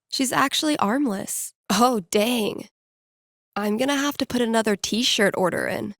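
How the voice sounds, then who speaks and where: Woman acting young game girl dj, wry n playful, mumbling thoughts to herself, lots of vocal fry, super dry recording. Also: mid-range voice, no echo, lightly acted. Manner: dry, sarcastic, conversational. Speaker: video game character, radio DJ and record store worker. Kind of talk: video game voice lines, casual inner monologue.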